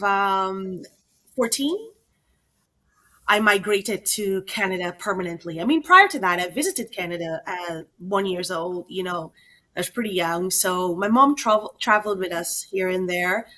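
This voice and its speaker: A woman's voice: mid-range voice, unhurried, sharp tone. A woman from Guyana, a children's author, telling her life story in a chatty, conversational way. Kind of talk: conversational storytelling interview answers.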